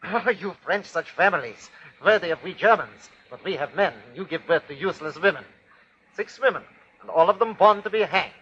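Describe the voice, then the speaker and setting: An actor playing a cruel, rattled Nazi army captain, formal and clipped, in a 1940s radio horror style. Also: male voice, high-pitched, animated, normal speed, pushed and bright, slightly rough, exaggerated voice. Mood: harsh, contemptuous. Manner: clipped, formal report-giving that breaks into barked orders. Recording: dull, lo-fi vintage radio recording.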